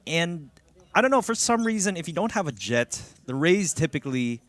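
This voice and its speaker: A man with a Filipino accent giving analytical live esports commentary as a shoutcaster, talking conversationally with a co-caster. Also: fairly high pitch, big pitch swings, moderate pace.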